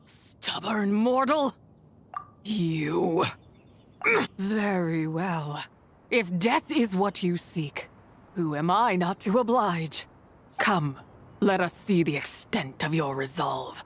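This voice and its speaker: A woman's voice: fairly low pitch, lively intonation, slow, husky, exaggerated voice. A woman voicing an ancient, menacing spirit in a video game, grave and imperious, with weary, archaic speech. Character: ancient, menacing female spirit of impure energy, imprisoned and weary.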